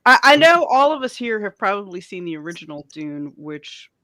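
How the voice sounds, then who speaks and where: A woman's voice: medium pitch, sing-song, normal speed, bright, forceful tone, no room sound. A woman hosting a casual geek culture panel chat, informal and given to repeating her phrases for emphasis.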